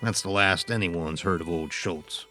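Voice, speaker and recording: An actor playing a cynical, foul-mouthed outlaw with rough frontier speech in a western audio horror drama. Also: male voice, deep voice, unhurried, bright, forceful tone, soft background music. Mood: grim, cynical.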